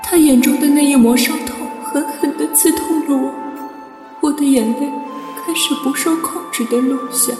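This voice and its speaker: A woman's voice: sits high, fairly even intonation, normal speed, close to an everyday voice. A woman playing a tender, heartbroken young girl in an audio drama, in long echoing reverb. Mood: desperate, hurt. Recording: echoey long reverb under music.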